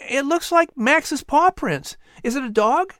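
A man's voice: extremely high pitch, expressive, unhurried, fairly bright tone, no echo, studio quality, voice pushed up, full character voice. A man voicing a curious young boy who answers carefully and eagerly. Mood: earnest.

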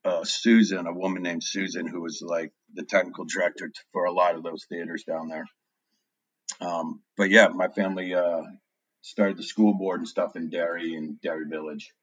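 A man in his forties talks in a very low, deep voice, recorded dry and close. He rambles through his story as an interview guest, filling gaps with ums. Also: level tone, normal speed, fairly bright tone, slightly rough, dry recording, lo-fi sound. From New Hampshire. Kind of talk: casual interview chat.

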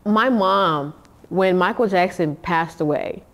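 A woman in her thirties, a podcast guest telling lively slang-filled stories in casual chat and laughing often. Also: fairly low pitch, slow pace. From Nigeria.

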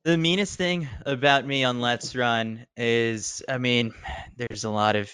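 A man speaking as a guest on a running podcast, relaxed and rambling, with many fillers and restarts. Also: medium pitch, a little slow, clean tone, no echo. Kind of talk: casual podcast chat.

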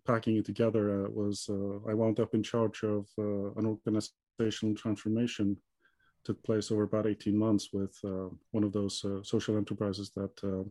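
A man's voice: on the low side, warm tone, dry recording. A man from Iceland in his thirties, answering interview questions in a hesitant way, with many ums and restarts. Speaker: guest.

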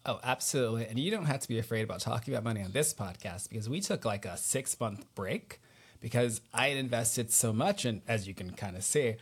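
A man hosting a casual interview podcast, playful and a little halting as he thinks aloud. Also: mid-range voice, expressive, breathy edge. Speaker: podcast host.

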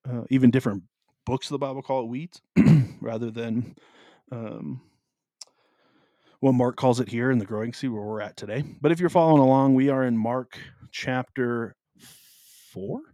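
A man with a deep, carrying voice co-hosting a casual podcast about the Bible, explaining at an easy pace with plenty of ums. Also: fairly even intonation, normal speed, mellow, dry recording. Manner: easygoing, explains with many ums. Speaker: podcast co-host.

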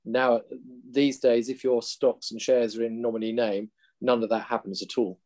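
A man with a Lincolnshire accent, an investment manager explaining his work in an interview in a measured way. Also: medium pitch, flat delivery, a little mellow. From England. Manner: measured, explanatory, restarts at times.

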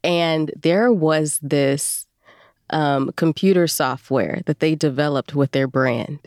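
A woman's voice: low-pitched, slow pace, a little mellow, no room sound, studio quality. A woman speaking casually as an interview guest, a voice performer telling her story.

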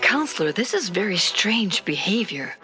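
Woman doing a soothing mother; bright, lilting, soft. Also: mid-range voice, sing-song, moderate pace, faint music behind. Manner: soft and reassuring.

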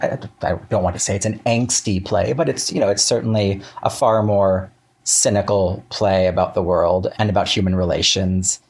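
A man being interviewed as a writer, chatting casually in a wry, halting way with self-interruptions and acted-out asides. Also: fairly low pitch, a little mellow.

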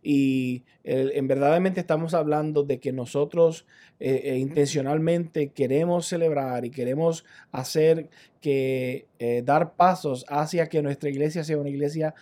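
Male pastor, mellow tone, unhurried and thoughtful, lots of fillers. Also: a little high, fairly even intonation. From Puerto Rico. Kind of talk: casual podcast conversation.

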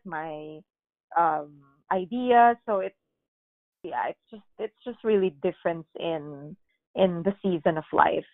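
A middle-aged woman from the Philippines, chatting as a podcast guest and acting out quotes in an animated way. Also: on the low side, no room sound. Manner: animated storyteller who acts out quotes, many "like"s. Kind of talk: casual chat. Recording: dry, phone-like lo-fi sound.

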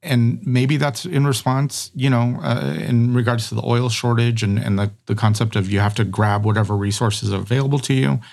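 A man's voice: medium pitch, steady tone, normal speed, gravelly, few pauses, darkish tone. A middle-aged man hosting a conversational podcast, rambling through his thoughts with many fillers and restarts.

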